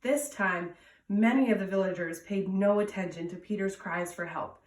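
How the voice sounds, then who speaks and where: A woman reads a children's fable aloud in a storytelling style. Her voice sits at a middle pitch. She is recorded in a roomy home space, a bit far from the microphone. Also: normal speed. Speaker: storytime reader. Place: indoors at home. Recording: roomy echo, distant from mic.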